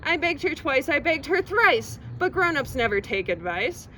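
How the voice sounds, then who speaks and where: A woman reading a children's poem aloud in a theatrical, expressive storytelling manner, recorded outdoors. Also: high-pitched, moderate pace. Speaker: library reader presenting a poem. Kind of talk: animated children's poetry reading. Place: outdoors outside a public library. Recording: outdoor recording with faint traffic noise.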